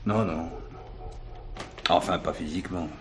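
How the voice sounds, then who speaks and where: An actor playing a serious, probing police detective with a troubled conscience in a French radio play. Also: male voice, low voice, steady tone, measured pace, slight background noise. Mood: remorseful.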